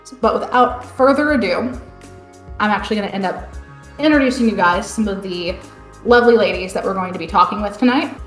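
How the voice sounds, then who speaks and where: A woman hosting a live online panel discussion, chatty and relaxed. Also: mid-range voice, echoey room. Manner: relaxed, chatty, many fillers like "end up". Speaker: panel host.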